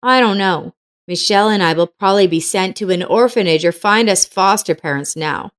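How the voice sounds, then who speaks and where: A woman's voice: mid-range voice, moderate pace, close to an everyday voice. An earnest, orphaned young boy in an audio story, performed by a woman narrator. He is polite and sincere in the way he talks. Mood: worried.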